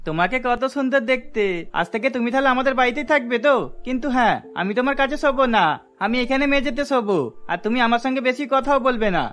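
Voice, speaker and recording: A man's voice: very high voice, fast, fairly bright tone. A man voicing a childish, simple-minded grown son in a cartoon, naive and plain-spoken. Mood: childishly blunt.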